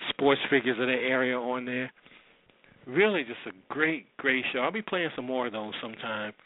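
Phone-like male American radio host. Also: medium pitch. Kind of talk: casual spoken introduction of old-time radio shows. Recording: roomy, phone-like narrow sound.

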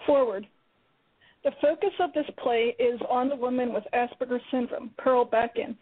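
A woman playwright reading the foreword to her play in a measured, earnest way. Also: a little high, breathy edge. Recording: tinny phone-line radio audio.

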